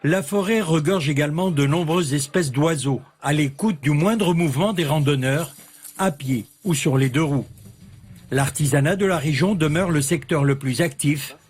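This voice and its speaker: A man narrating a TV news report in a steady, formal way. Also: on the high side, normal speed, husky. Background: faint music.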